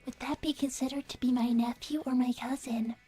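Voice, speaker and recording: A woman playing an earnest, devoted young artificial-intelligence daughter, soft and breathy, speaking politely and precisely in livestream banter. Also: high voice, steady tone, fairly quick, faint music behind, close to an everyday voice. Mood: calm, curious. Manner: polite, precise, matter-of-fact. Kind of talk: in-character improvised livestream banter.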